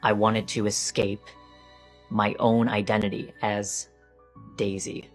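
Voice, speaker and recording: A woman's voice: rumbling low, level tone, slow. A woman with a mid-range voice tells her own story candidly and reflectively. Faint music runs underneath. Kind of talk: personal story interview.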